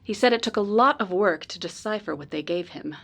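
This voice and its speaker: A woman playing a polite, earnest informant in a noir radio drama, speaking in a measured, precise way. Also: mid-range voice, lively intonation, creaky, fairly bright tone. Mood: earnest, serious. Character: polite, earnest female informant helping a detective.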